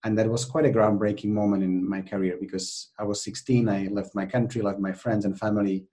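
Guest: man, Czech accent, low voice, lively, filler-heavy storytelling. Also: brisk. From Czech Republic. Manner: animated, many fillers and restarts. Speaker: podcast guest. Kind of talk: conversational interview.

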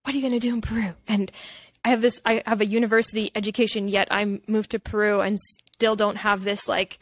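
A woman's voice: medium pitch, flat delivery, a little fast, no echo. A woman from Canada, talking candidly and reflectively in a casual interview, rambling with lots of filler "like". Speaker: podcast guest, holistic nutritionist.

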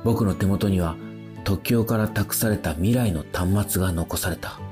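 A man's voice: sits low, moderate pace, music in the background, natural voice. A man playing a bewildered ordinary man in a radio drama, casual and wondering in his dialogue and first-person narration. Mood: pensive. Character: bewildered ordinary man entrusted with a future device.

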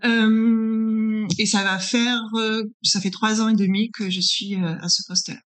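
A woman's voice: a little high, fairly even intonation, a little slow, fairly bright tone. A woman in her forties from northern France, answering interview questions as a consultant, explaining conversationally and checking in as she goes. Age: 40s. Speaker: interviewed guest, business incubator consultant.

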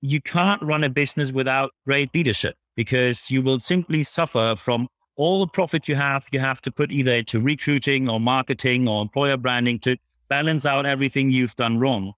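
A man's voice: mid-range voice, brisk, few pauses, fairly bright tone, no echo. A man with a German accent giving blunt, emphatic, explanatory answers as an interview guest. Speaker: guest, public speaker on leadership.

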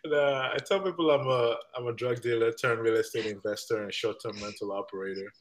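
A man's voice: medium pitch, steady tone, brisk. A man in his thirties from Nigeria, chatting as a podcast guest, laughing often and filling gaps with "uh". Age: 30s. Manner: laughs often, many fillers. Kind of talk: casual interview chat.

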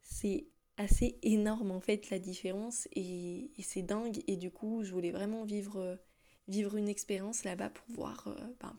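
A woman's voice: mid-range voice, warm tone. A young woman from France hosting her own podcast, talking through her thoughts with many fillers and restarts. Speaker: podcast host. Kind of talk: solo podcast talk.

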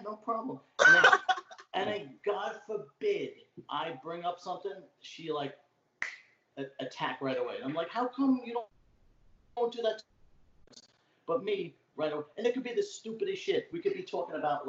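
A man's voice: fairly high pitch, bright, forceful tone, a little hiss or hum. Talkative Brooklyn man, roomy dull sound. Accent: old-school Brooklyn. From Brooklyn, New York. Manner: talkative storyteller, swearing freely. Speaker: podcast guest. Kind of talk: casual chat. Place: home room, remote call. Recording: echoey room, dull lo-fi sound.

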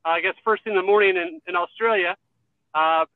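A man's voice: high voice, fairly even intonation, brisk, dry recording, narrow, tinny audio. A man hosting a radio interview show, friendly and self-deprecating with his guests. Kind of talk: interview host.

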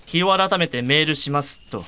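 A man's voice: a little high, normal speed, sharp tone, a little hiss or hum. A man acting in an audio drama as a courteous, upright blind man who speaks formally and earnestly. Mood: subdued, polite.

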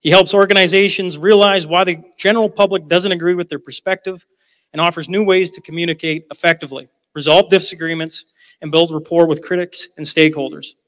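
A man's voice: on the high side, steady tone, fairly quick, a bit bright. Joking man moderating in a hall. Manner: chatty, loose and joking. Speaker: event moderator. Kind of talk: live panel introduction. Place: large hall with a live audience. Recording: long hall reverb.

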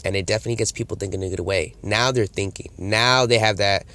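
A man's voice: fairly low pitch, fast, pushed and bright. A man with a cold and a slightly nasal voice, coaching on sales in a casual talk, acting out customer and salesperson lines. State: congested from a cold. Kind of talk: casual instructional talk to camera.

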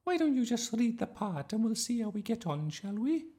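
A man's voice: very high-pitched, big pitch swings, normal speed, mellow, voice pushed up. A man voicing a gushing, theatrical young female drama teacher in a children's storybook, sing-song and exaggeratedly polite. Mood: patient, coaxing. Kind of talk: children's audiobook character voice.

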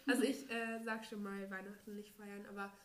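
Nasal teenage girl with a cold. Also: mid-range voice. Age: teens. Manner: chatty, giggly, many fillers. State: stuffy nose from a cold. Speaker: podcast co-host. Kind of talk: casual chat. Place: friend's home. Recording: echoey room.

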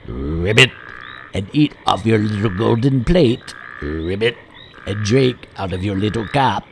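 A man voicing a male frog in a well who croaks "ribbit" between his phrases, in a storybook reading. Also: on the low side, a bit bright, a little hiss or hum, full character voice.

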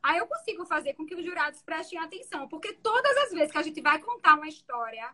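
A woman defense lawyer with a soft voice, explaining her courtroom methods in an interview. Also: extremely high pitch, big pitch swings, quick pace.

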